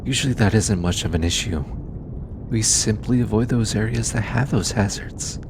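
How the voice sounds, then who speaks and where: A man voicing an anxious, earnest alien scientist in an intimate audio roleplay, hesitant and halting, as if easily flustered. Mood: grave, concerned. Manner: hesitant, halting, stammering, sighing. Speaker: voice actor. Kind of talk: scripted audio roleplay, intimate one-sided dialogue. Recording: clearly noisy, low rumbling background.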